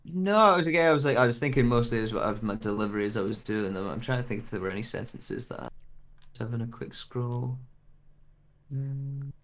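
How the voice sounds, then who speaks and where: A man's voice: medium pitch, lively intonation, a little fast, fairly bright tone. A man, an actor at a script readthrough, casually discussing his own delivery.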